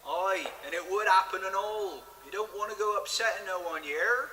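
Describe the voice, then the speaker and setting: Zealous rustic church caretaker, echoey. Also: male voice, high voice, normal speed, sharp tone. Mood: indignant, fervent. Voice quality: broad non-standard rustic speech. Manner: insistent, preachy, blunt. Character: zealous, indignant rustic church caretaker, Bible-quoting man. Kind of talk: audio drama acting. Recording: echoey hall, long reverb, faint noise.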